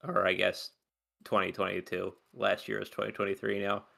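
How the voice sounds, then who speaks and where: Male co-host with a gravelly voice, halting and wry. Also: low voice, little pitch movement, sharp tone. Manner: hesitant, halting, dry humor. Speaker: streamer co-host. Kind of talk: casual stream chat reviewing games.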